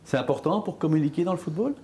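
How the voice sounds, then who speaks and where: A man's voice: a little high, animated, fast, a little mellow, a little hiss or hum. A man interviewing a footballer, asking short, direct and probing questions. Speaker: sports interviewer. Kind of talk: sit-down sports interview.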